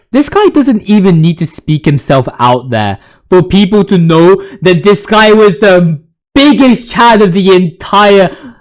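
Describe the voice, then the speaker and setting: A man's voice: sits high, rough recording. A man giving casual, opinionated gaming commentary as a video host, ranting in slang with emphatic repetition.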